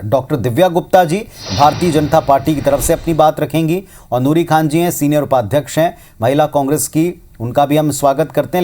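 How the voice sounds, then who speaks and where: A man's voice: on the high side, darkish tone. A man with an Indian accent presenting a live debate show as news anchor.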